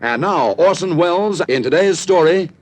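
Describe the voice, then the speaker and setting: A man's voice: fairly high pitch, animated, normal speed. A man making scripted announcements as the announcer of an old-time radio show. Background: plucked zither music.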